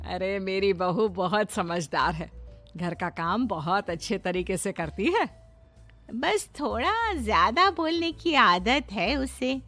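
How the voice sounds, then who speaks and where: Older mother-in-law, acted by a woman: matter-of-fact, gently scolding yet kind, cartoon moral story with soft music. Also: mid-range voice, big pitch swings, slow, heavily acted. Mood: kindly. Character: older mother-in-law, practical, mildly exasperated, kindly. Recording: music behind the voice.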